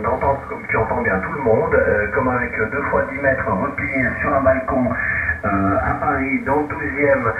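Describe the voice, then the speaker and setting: A man's voice: mid-range voice, roomy sound, far from the mic, narrow, tinny audio, noisy background. A man chatting casually and enthusiastically as he leads an amateur radio exchange, using callsigns, heard over shortwave. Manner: enthusiastic, effusive.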